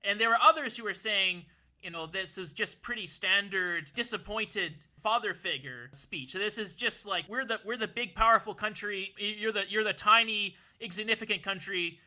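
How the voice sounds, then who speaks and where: A young man holds forth in an unusually high, sharply bright voice. He talks earnestly, stumbling over repeated words as he builds his point, and his voice sounds a touch worn. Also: normal speed. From Canada. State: losing his voice. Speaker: podcast co-host. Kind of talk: casual political discussion.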